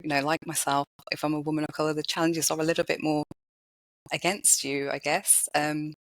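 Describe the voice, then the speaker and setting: A woman's voice: level tone, brisk. A woman talking as a podcast guest, thoughtful and full of small restarts and "you know"s. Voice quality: high-pitched voice. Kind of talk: casual interview conversation.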